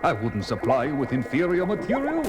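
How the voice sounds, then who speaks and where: An actor playing a scheming aristocratic vampire count, theatrical, sneering and imperious. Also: male voice, fairly high pitch, wildly swooping pitch, brisk. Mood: menacing. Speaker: villain. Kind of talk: 1970s record-album audio drama. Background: dramatic music score.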